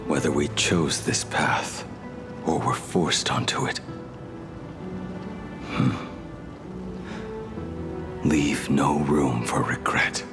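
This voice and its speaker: A man playing a stoic, world-weary ancient swordsman in a video game, grave and terse, his phrases often sinking into a breathy hush. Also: rumbling low, extremely slow, long pauses, music in the background, heavily acted. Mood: solemn, resigned. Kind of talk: dramatic video game voice acting.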